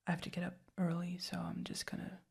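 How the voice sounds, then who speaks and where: A young woman speaking tenderly in a soft whisper, as in an ASMR roleplay. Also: fairly low pitch, completely flat delivery, fast, vocal fry, breathy edge. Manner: tender, affectionate. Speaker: roleplay partner.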